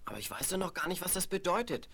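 A man's voice: high voice, expressive, quick pace, creaky. Earnest, questioning teenage boy. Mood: puzzled, sceptical. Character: earnest teenage boy Giorgio, sceptical and fond. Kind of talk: German radio play for young listeners. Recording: faintly noisy 1970s vinyl radio play.

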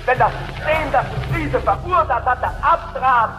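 A male politician declaims a fiery opposition speech in an accusing, rhetorical manner. His voice sits extremely high for a man, with faint music behind it. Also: a little slow.

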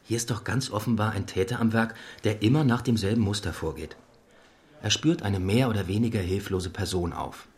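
An actor playing a confident young assistant detective in a German radio crime drama, matter-of-fact and assertive. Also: male voice, fairly low pitch, natural voice. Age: young adult. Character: young assistant detective, brisk, confident, sure of his theory. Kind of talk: German radio play acting.

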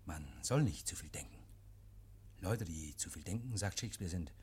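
An actor playing a nervous, guilty man in a 1950s radio play, feigning jovial innocence, stammering and repeating himself, hushed then agitated. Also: low-pitched, lively intonation, normal speed, slightly rough, exaggerated voice. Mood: forced joviality. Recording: vintage 1950s radio recording.

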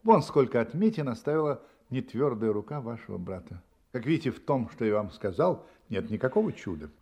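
Confident male detective, radio play, analytical, deliberate, animated intonation, husky tone, dramatic pauses. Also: mid-range voice. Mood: confident, explanatory. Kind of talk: Russian radio play acting.

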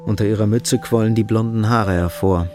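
A man with a fairly low voice serves as narrator of a radio drama. He reads literary prose in a sober, measured storytelling manner. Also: fairly even intonation, over music.

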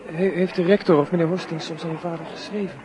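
Radio drama: male actor as earnest, tentative teenage boy in love, lightish high voice, noisy outdoor atmosphere. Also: steady tone, quick pace, lightly acted. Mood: earnest, unsure. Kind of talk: radio play dialogue.

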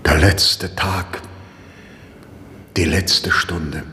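A man's voice: deep voice, steady tone, very slow, pushed and bright, long reverb tail, slight background noise, exaggerated voice. An actor playing a condemned male priest in a 1960s radio drama, solemn and searching.

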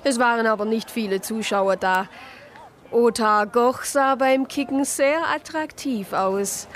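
An actress playing a reflective Protestant sister who narrates a crime story in a radio drama. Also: female voice, on the high side, a little slow, a little hiss or hum, natural voice. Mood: composed narrating. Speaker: narrator and lead character.

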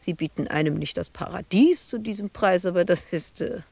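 A woman's voice: lowish voice, fairly quick, gravelly, no room sound. An actress playing a bitter, wounded woman sculptor shut away in an asylum, in a reproachful, volatile radio drama monologue. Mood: reproachful, hurt. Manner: reproachful, volatile, dropping at times to hushed murmurs.

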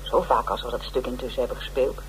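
A woman's voice: rumbling low, fairly even intonation, quick pace, slight background noise, rough recording. A woman playing a chatty, brazen stage actress in a radio drama, gushing and candid. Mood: defensive, excited. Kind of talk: radio drama acting, casual dialogue.

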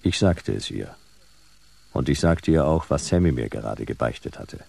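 An actor playing a hard-boiled detective narrating his case in a noir radio play, gravelly, in terse, clipped phrases. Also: lively intonation, normal speed, darkish tone, full character voice. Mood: grim. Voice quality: very deep, gravelly. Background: music.

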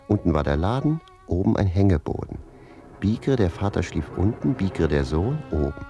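Deep, mellow storytelling manservant. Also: male voice, very animated, moderate pace, soft background music. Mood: teasing, wry. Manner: savouring, theatrical storyteller. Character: wry talkative manservant recounting his amorous youth to his master. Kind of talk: German radio play dialogue and storytelling.

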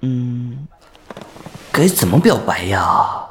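A man's voice: mid-range voice, big pitch swings, takes it slowly, gravelly, fairly bright tone, a little hiss or hum, heavily acted. A man acting a bashful, lovestruck young geek who stammers and hesitates, in a romantic audio drama. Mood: shy. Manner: stammering, hesitant, easily embarrassed. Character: shy, flustered, lovestruck geeky young man. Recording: music bed under the voice.

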